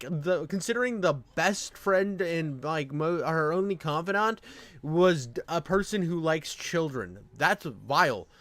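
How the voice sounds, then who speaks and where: A man's voice: a little high, a bit bright, no room sound. A man giving casual, unscripted reaction commentary in a blunt, slangy way. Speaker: reaction video host.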